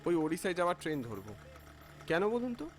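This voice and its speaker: A man's voice: sits high, quick pace, soft background music. A man playing an anxious, impatient saree trader who narrates his night journey in a cartoon story. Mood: impatient, fretful. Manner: fretful, expressive. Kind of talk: dramatised first-person story narration.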